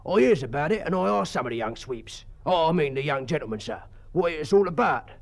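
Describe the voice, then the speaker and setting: A man's voice: on the high side, expressive, a little fast, creaky. A man voicing an ancient English school porter with a working-class dialect, stubborn yet deferential, rambling and plain-spoken in an audiobook story. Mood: earnest.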